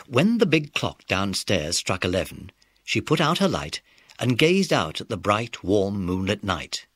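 A man's voice: lowish voice, sing-song, a little slow, a bit bright, husky, no echo. A British man narrating a scripted children's story.

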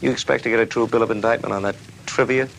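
An actor playing a smug, sarcastic mystery writer accused of murder, mocking and haughty in a theatrical way. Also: male voice, mid-range voice, fairly even intonation, rapid-fire, gravelly, fairly bright tone. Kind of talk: dramatic dialogue acting. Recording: noticeable background noise.

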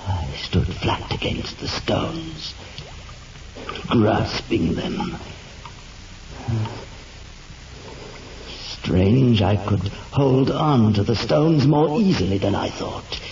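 An actor playing a tormented, rapturous man in an old radio horror monologue, theatrical and halting, repeating words in wonder. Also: on the low side, animated, gravelly, exaggerated voice. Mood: awed, straining. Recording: muffled old radio recording, heavy noise.

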